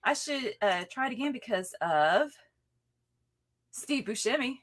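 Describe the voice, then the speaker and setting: A young woman co-hosting a casual comics livestream, playful and quick to laugh as she reads out viewer comments. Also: fairly high pitch, lively intonation. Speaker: co-host reading viewer comments. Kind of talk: casual chat.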